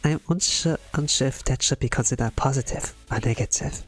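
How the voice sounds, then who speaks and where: A man voicing a composed, polite young woman from a game in a measured, formal way, with music behind. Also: mid-range voice, fairly bright tone, husky, pitched above the performer's own voice. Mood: calm, formal. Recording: music under the voice.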